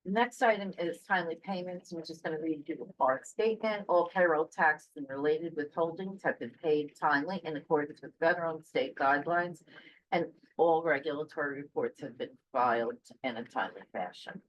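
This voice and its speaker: A woman's voice: sits low, brisk, low-quality recording. A woman giving a matter-of-fact finance report at a board meeting, reading out figures with small fillers. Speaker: finance officer presenting reports.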